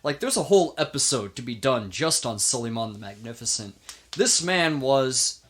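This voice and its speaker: A man's voice: mid-range voice, sing-song, normal speed, fairly bright tone. A man hosting a podcast, telling history in an informal, emphatic way with casual banter.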